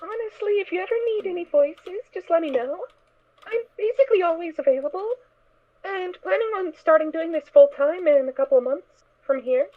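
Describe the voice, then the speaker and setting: A woman with an extremely high, girlish voice chats casually, like a voice actor among colleagues. Her line is tinny and phone-like, as on an online voice call. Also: animated, brisk. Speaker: voice actor and audiobook narrator. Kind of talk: casual chat.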